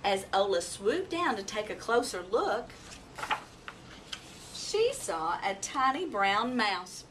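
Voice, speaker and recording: Animated woman reading a children's story. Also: mid-range voice, takes it slowly. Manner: animated, acts out animal sounds and voices. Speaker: storybook reader. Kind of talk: expressive children's story read-aloud. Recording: noisy, distant microphone.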